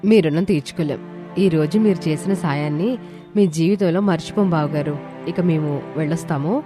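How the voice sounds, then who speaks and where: A woman voicing a cunning, flattering female guest in a cartoon moral story. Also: low voice, moderate pace, natural voice. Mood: ingratiating. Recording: music under the voice.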